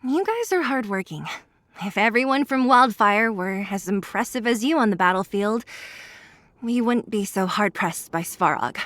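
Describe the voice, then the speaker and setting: A woman's voice: medium pitch, expressive, normal speed, fairly bright tone, dry recording, natural voice. A woman voicing a tough, blunt, confident young fighter in a video game, wry and self-assured. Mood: blunt, resolute. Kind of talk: video game cutscene acting. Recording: light music behind the voice.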